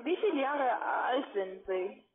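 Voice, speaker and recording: A woman with a high voice and a foreign accent argues in non-native German. She is on a narrow phone line with plenty of chatter behind her. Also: animated, measured pace. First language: not German. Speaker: call centre agent.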